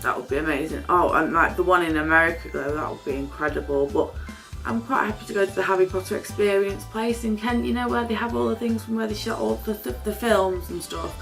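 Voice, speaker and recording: A woman's voice: medium pitch, brisk, creaky, fairly bright tone, faint music behind. A British woman chatting casually and off the cuff, rambling and self-deprecating in an informal vlog style. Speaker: vlogger. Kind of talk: casual unscripted chatty get-ready-with-me.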